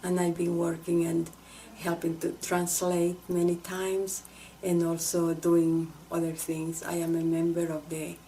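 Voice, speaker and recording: A woman speaks slowly in a mellow voice over faint background noise. She gives personal testimony in a halting, earnest, reflective way. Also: on the low side, completely flat delivery, rough recording. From El Salvador.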